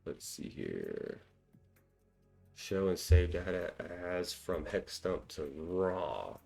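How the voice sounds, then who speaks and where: A man with a deep, low voice talks casually through a screen-recorded tutorial, thinking out loud and full of ums and quick chuckles. Faint music plays softly behind him. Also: sing-song, gravelly. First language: English. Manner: chatty and self-deprecating, lots of ums and small laughs. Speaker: cybersecurity tutorial host.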